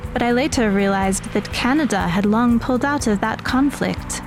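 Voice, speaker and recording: A woman's voice: on the high side, moderate pace, lightly acted. An actress playing a guarded, serious, bitter teenage girl in an audio drama. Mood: brooding. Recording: music behind the voice.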